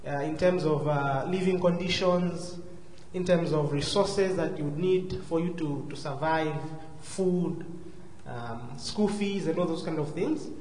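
A man with a southern African accent giving a personal testimony as a guest speaker, courteous, measured and hesitant. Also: a little high, a little mellow. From Zambia and Malawi. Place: large church hall, live before a congregation. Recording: echoey hall, faint noise.

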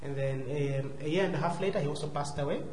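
A man's voice: fairly high pitch, quick pace, a little mellow. Male guest speaker, southern African accent, courteous and hesitant, echoey live room with faint noise. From Zambia and Malawi. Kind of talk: personal testimony to a congregation. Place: large church hall, live before a congregation.

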